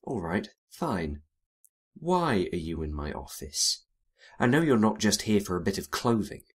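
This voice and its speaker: A man playing a wry, hardboiled 1920s private detective, narrating in a dry, world-weary deadpan. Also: deep voice, a little slow, breathy edge, heavily acted. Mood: wary, sardonic. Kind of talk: first-person noir detective narration and dialogue.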